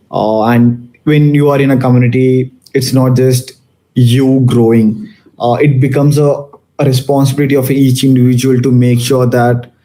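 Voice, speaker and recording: A young man from Malaysia talks to a room of students in a loose, conversational way. His voice is mellow and sits in a middle pitch, and he often pauses on fillers and restarts his sentences. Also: poor audio. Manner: casual, many fillers and restarts. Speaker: guest speaker. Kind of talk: conference talk to students.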